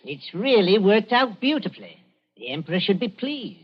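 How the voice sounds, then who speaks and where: A man's voice: high-pitched, big pitch swings, moderate pace, slightly rough, heavily acted. An actor playing a smug German impostor who taunts his captive in a 1940s radio drama. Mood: menacing. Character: sneering German impostor with a rifle. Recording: dull 1940s radio broadcast.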